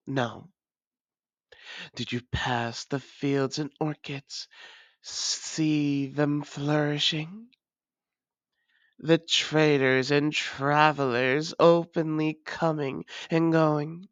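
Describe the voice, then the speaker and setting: A woman as a slow, menacing vampire ruler. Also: sits low, steady tone, no echo. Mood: stern, bitter. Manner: taunting, deliberate, imperious. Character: proud, menacing female vampire ruler, former hunter. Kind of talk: dramatic audio roleplay monologue.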